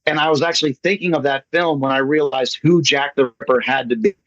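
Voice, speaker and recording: A man's voice: medium pitch, steady tone, brisk, fairly bright tone, no room sound. Chatty American man on a muffled call. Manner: chatty, jokey, enthusiastic. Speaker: playwright presenting his play at an online meeting. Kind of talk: casual chat. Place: online meeting call. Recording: muffled lo-fi online meeting audio.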